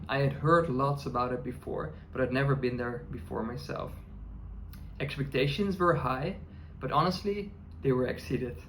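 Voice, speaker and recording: A man whose first language is Dutch, narrating his travel vlog in a calm, measured, scripted voice-over. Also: medium pitch, a little hiss or hum. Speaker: travel vlogger.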